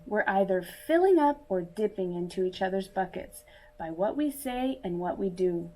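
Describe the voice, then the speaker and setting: A woman reading a picture book aloud to young children in a deliberate, teacherly manner, as if speaking directly to her listeners. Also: mid-range voice, warm tone, rough recording. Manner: asks listeners questions and pauses for answers. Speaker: children's story reader.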